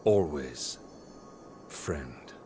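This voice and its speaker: An actor playing a noble, loyal knight in a video game, grave, formal and deliberate, with an air of regret. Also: male voice, extremely low pitch, animated, dark tone, exaggerated voice. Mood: tender. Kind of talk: dramatic video game voice acting. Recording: music behind the voice.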